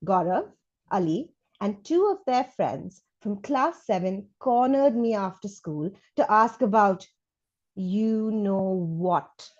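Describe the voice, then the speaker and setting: A resentful but curious schoolchild played by a woman reader candidly complains to a new classmate in storybook letters. The delivery is expressive and the recording is slightly muffled. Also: mid-range voice, slow pace. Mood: upset, resentful. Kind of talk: read-aloud children's storybook.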